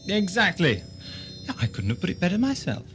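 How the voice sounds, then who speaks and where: A man's voice: high-pitched, huge pitch swings, normal speed, background noise. A man playing a wry, inquisitive time-travelling adventurer in an audio drama, dry, polite and quippy. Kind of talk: amateur audio drama acting.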